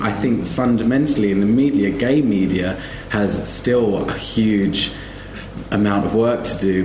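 A man speaking earnestly and haltingly as a panellist in a live discussion. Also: lowish voice, darkish tone. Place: live festival panel in echoey room. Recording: echoey room, faint noise.

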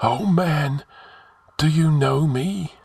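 A man's voice: fairly high pitch, takes it slowly, creaky, a little mellow, husky, no room sound, voice pushed up, heavily acted. A man voicing an ancient ghostly sage in a fantasy audiobook, solemn, commanding and oratorical in an archaic way.

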